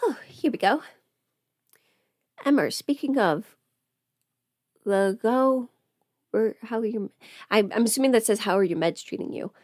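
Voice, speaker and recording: A woman's voice: on the high side, a little slow, long pauses, a little mellow, no room sound, clean studio sound. A young adult woman chatting casually to livestream viewers in a rambling, informal way, often interrupting herself. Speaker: livestreamer and audio performer. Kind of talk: casual livestream chat while putting on makeup.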